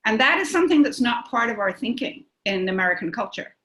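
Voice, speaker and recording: Woman, bright forceful voice, musing, rhetorical questions, workshop discussion. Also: on the high side, big pitch swings, quick pace. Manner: thinking aloud with frequent rhetorical questions.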